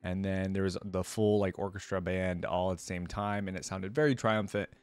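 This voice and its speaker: Male reaction host, lower register, dry close sound with music behind, conversational and thinking aloud. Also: fairly even intonation, moderate pace, clear, resonant tone, dry recording. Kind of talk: casual commentary, reacting to game music and artwork.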